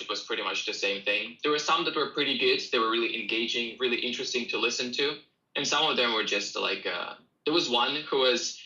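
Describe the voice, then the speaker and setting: A man's voice: mid-range voice, bright, forceful tone, roomy sound, poor audio. A young man from Russia with a Russian accent, co-hosting a casual chat and repeating his phrases as he talks to viewers. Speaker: co-host.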